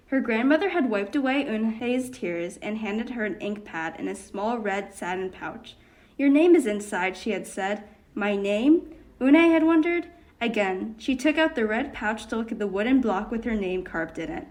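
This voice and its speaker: A woman reads a children's picture book aloud with an expressive storytelling manner. Her voice sits a little high and clear. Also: normal speed. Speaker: volunteer reader.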